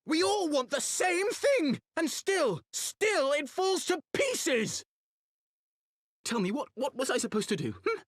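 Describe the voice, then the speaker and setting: A man's voice: very high voice, big pitch swings, takes it slowly, big-room sound, heavily acted. An actor playing an earnest, guilt-ridden young nobleman in a video game, with formal, pleading speech. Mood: anguished. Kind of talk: dramatic video game cutscene acting.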